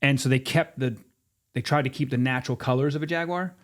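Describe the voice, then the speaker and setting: Male, 50s, medium pitch. Interview guest spinning anecdotes informally, voicing both sides of remembered exchanges. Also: fast talker. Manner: animated retelling with quoted dialogue. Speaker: podcast guest, former sports mascot performer. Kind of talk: casual storytelling in an interview.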